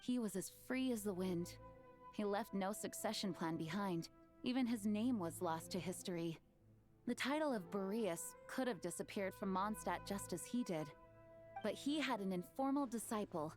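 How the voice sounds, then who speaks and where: An actress voicing a dutiful female acting grandmaster in a video game, speaking in a clear, formal way. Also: mid-range voice, moderate pace, darkish tone, faint music behind, close to an everyday voice. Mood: narrating.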